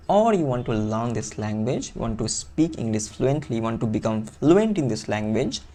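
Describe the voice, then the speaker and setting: A man's voice: medium pitch, mellow. A man with a Bengali accent, a native Bengali speaker, coaching viewers on English fluency in an earnest teaching monologue that repeats key phrases for emphasis.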